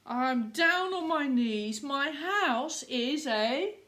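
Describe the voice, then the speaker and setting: A woman voicing a flustered little old lady from a storybook, plaintive and dramatic, with drawn-out exclamations. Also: sits high, animated, pushed and bright, voice pushed up, heavily acted. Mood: frantic, pleading.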